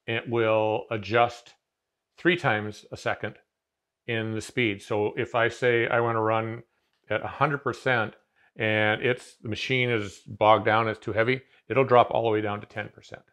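A man's voice: fairly low pitch, flat delivery, unhurried, a bit bright. A man in his fifties explaining a CNC machine in casual conversation, talking in a loose, rambling way with many fillers and restarts, seated in a large garage workshop.

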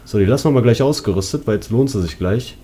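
A man's voice: lowish voice, expressive, fast talker, mellow, slight background noise. A man giving casual let's play commentary as he guides viewers through a video game. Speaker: gaming YouTuber, let's player.

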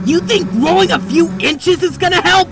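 Woman doing a brash, high-voiced monster tough girl. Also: animated, moderate pace. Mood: defiant. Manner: blunt, cocky, punchy. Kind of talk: fan-dubbed video game character acting. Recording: music clearly behind the voice.

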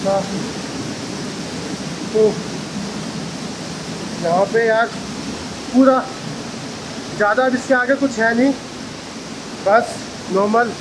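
A young man in his twenties filming a casual travel vlog on a phone at arm's length in a wet cave and forest trail, chatting to viewers and sounding worn out from the climb. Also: very high voice. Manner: chatty, addresses viewers as guys and bhai. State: tired, out of breath from climbing. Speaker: travel vlogger. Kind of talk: casual selfie vlog narration. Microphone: handheld phone camera at arm's length. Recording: loud rushing water noise behind. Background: waterfall and stream.

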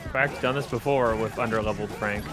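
A man's voice: fairly low pitch, steady tone, brisk. A man giving short, casual remarks as an esports co-commentator on a fighting game. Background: game music under the commentary.

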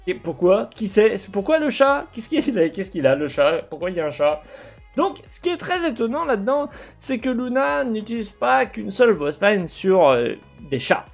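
A young man giving casual, speculative commentary as a gaming video host, halting at times and asking himself questions. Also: high-pitched, big pitch swings, creaky, soft background music. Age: young adult. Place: indoor gaming setup.

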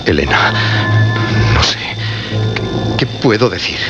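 Anguished radio host guy, lowish grave voice, imploring, muffled hummy horror radio play. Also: animated, over loud music. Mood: stunned, helpless. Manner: grave, imploring, halting with repetitions. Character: anguished male radio presenter who unleashed the dead. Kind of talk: Spanish radio horror drama acting. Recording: muffled radio recording with clear hum.

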